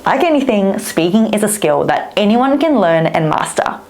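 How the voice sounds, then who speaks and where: A woman whose first language is Vietnamese, a speaking coach giving an upbeat, expressive talk straight to camera. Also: mid-range voice, normal speed.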